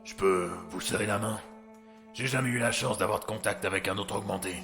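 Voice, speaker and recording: A man acts out a jaded, sardonic doctor for an audio drama. His low voice is gravelly and forceful, full of crude slang. The sound is roomy. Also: steady tone, brisk, music in the background, lightly acted. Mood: weary, blunt. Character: bitter, worn-out, foul-mouthed male doctor with superpowers. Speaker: voice actor.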